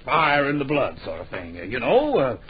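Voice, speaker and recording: Dithering, animated old-radio book publisher. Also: male voice, mid-range voice, moderate pace, crowd noise. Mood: blustering. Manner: dithering, muttering, repeats himself. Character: absent-minded, blustering male book publisher, muddled and dismissive. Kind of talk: old radio drama acting. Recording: dull, hissy old radio recording.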